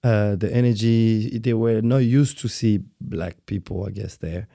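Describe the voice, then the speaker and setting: A man from France in his thirties, speaking English with a French accent. His low voice is gravelly and dark in tone, recorded dry and close. He talks softly and haltingly, often repeating words while he looks for the right phrase. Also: no room sound. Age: 30s. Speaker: guest dancer. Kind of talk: interview conversation.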